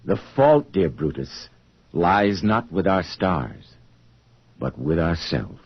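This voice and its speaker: Weary doomed scientist in old 50s radio play, muffled sound, swings from low gloom to dramatic peaks. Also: male voice, big pitch swings, takes it slowly, background noise, exaggerated voice. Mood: bleak, resigned. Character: weary male scientist time traveler, despairing and regretful. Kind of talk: 1950s radio acting, first-person dramatic narration.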